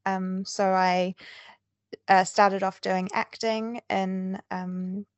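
A woman's voice: mid-range voice, flat delivery, drawn-out words, no echo. A woman with a New Zealand accent, a filmmaker speaking as a podcast guest, earnest and thinking aloud with many ums and restarts. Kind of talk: podcast interview.

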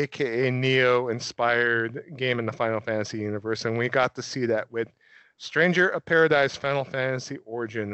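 Male podcast host, chatty and joking, mid-pitched, dry close sound. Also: a bit bright, smooth, dry recording. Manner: joking, laughs often, riffs with co-hosts. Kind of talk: casual chat.

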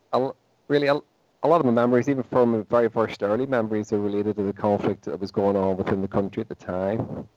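A man's voice: on the low side, warm tone, no room sound, muffled recording. A Northern Irish man in his forties, a professor answering interview questions in a thoughtful way, often restarting his sentences. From Northern Ireland. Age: 40s. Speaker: guest, psychology professor.

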